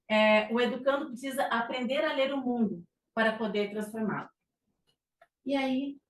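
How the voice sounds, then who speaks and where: A middle-aged Brazilian woman giving an informal psychology talk, with frequent fillers and restarts. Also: on the high side. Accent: Brazilian Portuguese. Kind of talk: conversational lecture presentation. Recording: echoey room, distant mic, faint noise.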